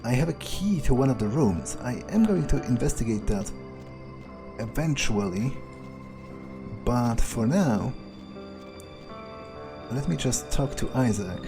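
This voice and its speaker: A man's voice: mid-range voice, lively intonation, measured pace, long pauses, over music. A man doing in-character roleplay commentary on a video game, musing and thinking aloud. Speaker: gameplay video host.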